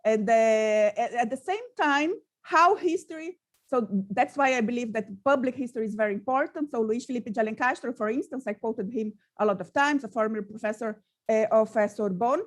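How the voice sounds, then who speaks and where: Woman lecturer from Brazil, halting reading with frequent fillers, slightly high pitch. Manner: hesitant, many fillers and restarts. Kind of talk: academic talk, partly read aloud.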